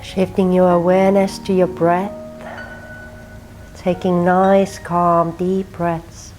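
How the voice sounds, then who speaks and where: A woman from Finland with a Finnish accent, teaching a gentle yin yoga class and softly cueing breath and movement. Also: lowish voice, flat delivery, takes it slowly, faint music behind, rough recording. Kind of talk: guided yin yoga instruction.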